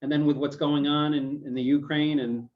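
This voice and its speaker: Guy running a movie discussion group, affable, keeps saying right and yes, voice a bit high, far from the mic and dull sounding. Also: little pitch movement. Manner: affable, quick to agree, says "right" and "yes" often. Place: meeting room with an in-person group. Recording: distant, dull lo-fi room recording.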